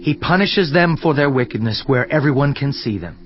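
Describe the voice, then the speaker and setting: A man's voice: medium pitch, animated, brisk, lightly acted. A man playing an earnest, indignant counselor in a dramatized scripture reading, solemn, emphatic and declamatory in delivery. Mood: fervent rebuke. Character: Elihu, earnest indignant male counselor rebuking a suffering man. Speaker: voice actor in audio Bible. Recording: slightly dull sound, music underneath. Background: background music.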